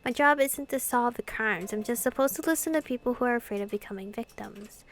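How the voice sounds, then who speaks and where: A woman doing an informal, expressive playthrough of a visual novel, reading and commenting as host. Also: a little high, fairly quick. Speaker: gaming video host.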